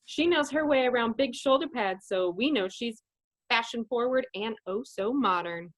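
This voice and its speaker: A woman's voice: on the high side, moderate pace. A woman narrating a comedy script with sassy, mock-sweet sarcastic asides. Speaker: comedy troupe performer, narrator.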